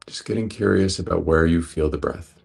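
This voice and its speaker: A man guiding a breathwork meditation in a gentle, unhurried, reassuring manner. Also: low-pitched, vocal fry, breathy edge, faint music behind. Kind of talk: guided meditation instruction.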